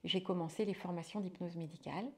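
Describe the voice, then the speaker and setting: A woman's voice: on the low side, steady tone, fast. A woman, a midwife turned hypnotherapist, talking in a casual interview with many fillers and restarts.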